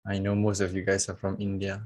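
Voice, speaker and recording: A man teaching trading on a live video call, chatty and relaxed, laughing and talking straight to his viewers. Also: low voice, a little fast. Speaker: trading coach. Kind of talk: casual live-stream trading lesson.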